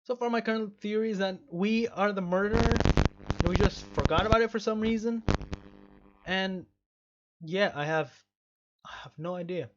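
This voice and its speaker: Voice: mid-range voice, moderate pace, pauses often, heavy background noise. Mock-stern game streamer, sex unclear, muffled lo-fi sound. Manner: mock-stern, emphatic, repeats phrases. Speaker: video game streamer and host. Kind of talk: rambling let's play commentary.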